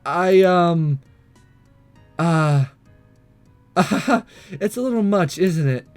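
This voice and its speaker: A man's voice: sits high, lively intonation, very slow, soft background music, exaggerated voice. Man acting flustered, hesitant teenage boy. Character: awkward nervous teenage boy, visual novel protagonist disguised as girl.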